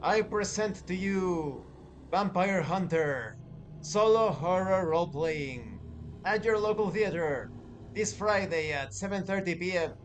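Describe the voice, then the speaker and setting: A man presenting a tabletop game review as channel host, deliberate and emphatic, pausing and stretching key words. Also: sits high, lively intonation, slight background noise. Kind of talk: scripted-sounding review presentation.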